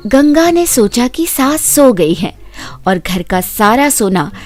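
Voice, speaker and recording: Slow, expressive woman storyteller. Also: fairly high pitch, faint music behind. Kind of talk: scripted children's story narration.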